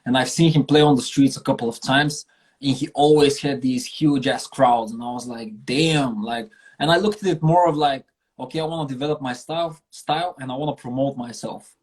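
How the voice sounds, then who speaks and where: A man's voice: mid-range voice, brisk. A man from Bulgaria telling stories casually as an interview guest, speaking earnestly and emphatically with slang and some swearing. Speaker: interview guest, singer and street performer.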